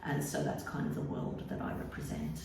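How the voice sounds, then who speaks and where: An Australian woman, an author and teacher, answering interview questions thoughtfully, with many pauses and ums. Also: darkish tone. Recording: echoey room.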